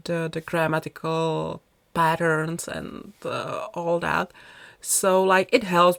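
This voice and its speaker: A woman from the chats casually in a voice-over. Her voice sits fairly low with a noticeable creak. The sound is dry, with no room around it, and she rambles along as a video creator talking to viewers. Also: no echo. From Czech Republic. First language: Czech. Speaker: video creator narrating a game build.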